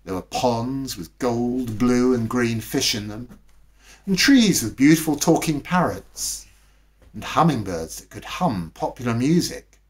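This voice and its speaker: A man reading a scripted bedtime story as narrator, with expressive, dramatic delivery. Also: mid-range voice, measured pace. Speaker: storybook reader.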